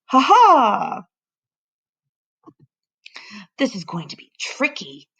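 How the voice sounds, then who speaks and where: A woman's voice: high voice, huge pitch swings, unhurried, gravelly, a bit bright, breathy edge, highs cut off, voice pushed up, exaggerated voice. Woman playing an exuberant, eccentric older professor. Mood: playful, determined. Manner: exclamatory, hearty, enthusiastic.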